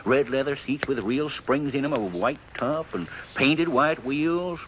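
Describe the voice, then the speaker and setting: A man's voice: mid-range voice, a little slow, close to an everyday voice. An actor in a 1950s radio western playing a gossipy, evasive small-town man with a folksy, stammering, rambling delivery. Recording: faintly noisy 1950s radio recording.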